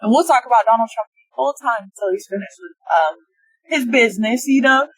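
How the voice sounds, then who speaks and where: A woman's voice: fairly high pitch, fairly quick, husky, no room sound, poor audio. Hesitant young woman from Texas. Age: 20s. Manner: hesitant, many ums and likes, relaxed. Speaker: guest. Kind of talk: casual interview in a car. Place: inside a car.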